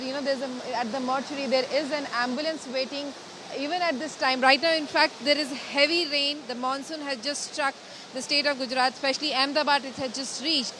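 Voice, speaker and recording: High-pitched Indian woman reporting, noisy outdoors. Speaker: field news reporter. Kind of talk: live broadcast reporting. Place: outdoors outside a hospital mortuary in rain. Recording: noisy outdoor live link with rain and traffic.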